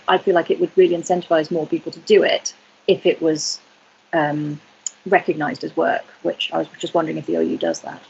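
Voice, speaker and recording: A woman's voice: fairly low pitch, creaky, husky. A woman attendee speaking casually on an online webinar as she asks a question. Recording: dull, noisy webinar connection.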